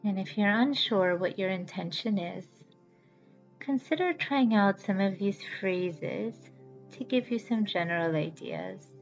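A woman guiding a yoga nidra relaxation as host, with a soothing, measured manner and deliberate pauses. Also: medium pitch, animated. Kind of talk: guided relaxation meditation. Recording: slightly dull audio with background music. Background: music.

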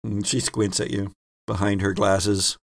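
A man's voice: on the low side, little pitch movement, measured pace, gravelly, dry recording, studio quality. A man narrating as a tabletop game master, informal and improvised, correcting himself as he describes the scene.

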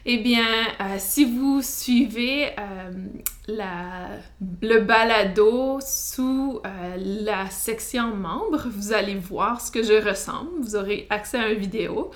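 A woman with an Acadian French accent, hosting her own podcast as a psychotherapist, speaking in a relaxed monologue with frequent hesitations and light laughs. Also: mid-range voice, slow. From New Brunswick, Canada. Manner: hesitant, many "euh" fillers, light laughs.

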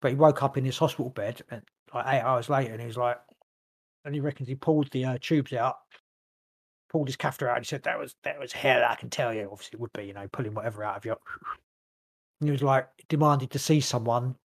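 A man's voice: mid-range voice, fast. A man co-hosting a podcast, rambling through a story in loose casual chat, swearing now and then. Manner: rambling, lots of fillers and restarts, swears. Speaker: podcast co-host.

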